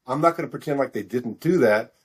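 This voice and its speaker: Older guy getting interviewed as an author, mid pitched voice, kinda halting and rambly. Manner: hesitant, halting, rambling delivery. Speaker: novelist guest being interviewed. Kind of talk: conversational interview answers.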